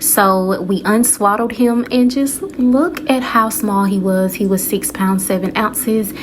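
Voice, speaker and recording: A woman's voice: mid-range voice, steady tone, takes it slowly, crowd noise. Stuffy-nosed woman vlogging to y'all. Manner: chatty, addresses viewers as y'all. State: stuffy nose from flu. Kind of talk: casual vlog narration.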